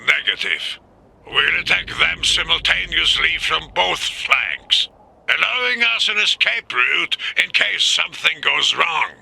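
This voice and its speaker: An actor playing a cautious, firm army commander in a war game, gravelly, husky and clipped. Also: male voice, fairly high pitch, very animated, a little slow, pushed and bright, full character voice, poor audio. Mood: businesslike. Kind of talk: dramatic military game acting.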